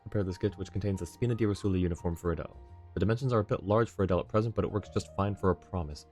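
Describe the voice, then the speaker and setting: Low-voiced man streaming a game. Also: rapid-fire, a little mellow, soft background music. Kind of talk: casual live game commentary, reading dialogue and reacting.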